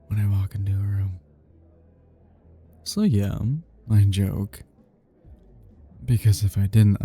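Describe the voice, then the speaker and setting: A man's voice: low-pitched, gravelly, mellow, heavily acted. A man playing a cocky, flirty best friend in an intimate romantic ASMR roleplay, murmuring teasingly and slipping into whispers.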